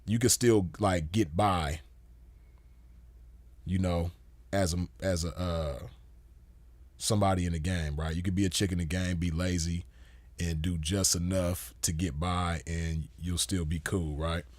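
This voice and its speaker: A man's voice: deep voice, steady tone, a little slow, a little mellow, dry recording. A man giving a casual commentary monologue as host, laid-back and full of "you know" fillers. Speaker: podcast host.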